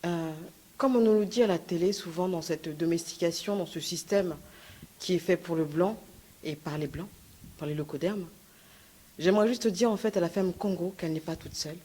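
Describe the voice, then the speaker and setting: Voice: medium pitch, normal speed, warm tone. Earnest, hesitant conference speaker. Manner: earnest, hesitant, many fillers. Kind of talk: prepared public address.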